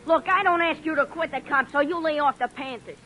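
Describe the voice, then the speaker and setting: A cocky gang kid with a high, bright tone in a vintage radio play. He spits streetwise slang and scorn for cops. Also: fairly quick, a little hiss or hum. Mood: defiant, cocky. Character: tough street-gang boy, defiant, cop-hating. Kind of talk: 1940s radio acting.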